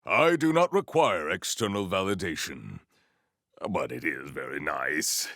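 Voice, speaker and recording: An actor playing a proud, formal sci-fi warrior in a video game, speaking in a grandiose, deliberate and earnest way. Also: male voice, deep voice, animated, fairly bright tone, no room sound, clean studio sound, exaggerated voice. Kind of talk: video game character voice lines.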